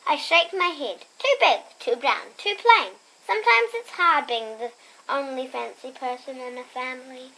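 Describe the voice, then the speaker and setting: A young girl reads a storybook aloud in a bright, lively voice that swings widely in pitch. She adds chatty asides in a quieter tone. Some background noise sits behind her. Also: normal speed, low-quality recording. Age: child. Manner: expressive reading, dropping into quieter asides. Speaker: storybook reader and host. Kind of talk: read-aloud of a picture book with chatty asides.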